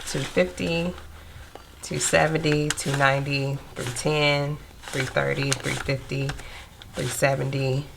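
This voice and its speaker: A young woman with a stuffy nose from a cold, chatting casually to viewers while counting out cash in a steady murmur. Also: extremely low pitch, slow pace, vocal fry, background noise. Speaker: budgeting vlogger.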